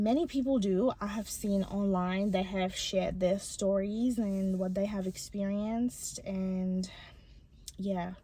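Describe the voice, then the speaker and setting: A young woman from Bangladesh who stutters, talking personally to her viewers with many pauses and ums. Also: medium pitch, a little mellow, slight background noise. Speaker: channel host. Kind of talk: personal vlog talk to camera.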